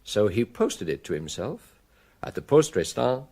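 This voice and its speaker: An actor with a British accent playing a middle-aged French chief inspector in a radio drama, measured, courteous, gentle and shrewd. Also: male voice, lowish voice, sing-song, heavily acted. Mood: explaining, grave.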